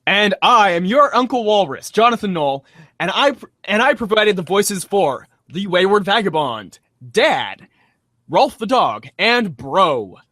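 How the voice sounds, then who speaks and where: A man hosting a read-aloud show, addressing listeners in a theatrical, mock-grand and deliberate way. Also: high voice, big pitch swings, fairly bright tone, no room sound, studio quality.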